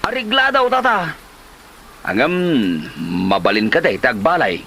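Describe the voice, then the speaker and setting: Man acting high-voiced, shifty Filipino husband. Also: wildly swooping pitch, measured pace, bright, forceful tone, heavily acted. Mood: complaining. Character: shifty, irritable, broke smoker husband. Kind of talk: Ilocano comedy drama acting. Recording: light noise, rain-like ambience.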